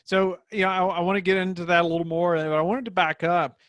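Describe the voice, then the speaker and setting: Host: man with a slightly raised pitch, rambling delivery, dry recording. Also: fairly even intonation, brisk, fairly bright tone, no room sound. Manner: rambling, many fillers and restarts. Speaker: podcast host. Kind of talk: casual podcast interview.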